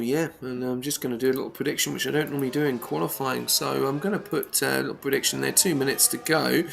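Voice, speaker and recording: A man calling a live sim race in a high, gravelly voice that sounds croaky from being under the weather. His tone is bright and forceful, and faint music plays underneath. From New Zealand. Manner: excitable, rises in pitch during on-board laps. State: croaky, unwell voice. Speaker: sim racing commentator. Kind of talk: live esports race commentary.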